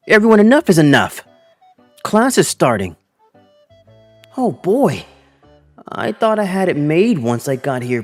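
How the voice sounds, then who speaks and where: A man's voice: a little high, darkish tone, no room sound. A man voicing an earnest twenty-five-year-old male teacher who narrates his own story and acts out his lines in a manga dub. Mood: frustrated. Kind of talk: first-person manga dub narration with acted dialogue.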